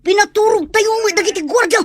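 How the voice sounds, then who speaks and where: A woman voicing a panicky female bandit accomplice in a radio play, shouting shrilly from a distance. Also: very high-pitched, a little fast, no echo, studio quality. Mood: panicked.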